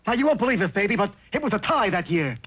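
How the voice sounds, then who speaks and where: A man voicing a young ugly duckling in an old cartoon, a wisecracking, slangy complainer with a creaky, sharp voice. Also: high-pitched, sing-song, fast, highs cut off. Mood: wry complaint. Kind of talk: 1960s cartoon voice acting. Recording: noisy old TV cartoon soundtrack.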